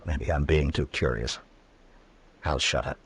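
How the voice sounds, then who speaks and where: Old west lawman, real deep n husky, steady, few words, old radio show. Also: a little slow, heavily acted. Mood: guarded, casual. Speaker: radio drama actor. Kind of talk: old-time radio western acting. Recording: vintage radio drama recording.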